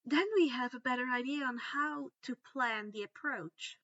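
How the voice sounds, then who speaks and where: A woman's voice: high voice, moderate pace, a bit bright, dull audio. A woman voicing a stern, earnest teenage schoolgirl who explains things insistently in a story reading. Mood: persuasive.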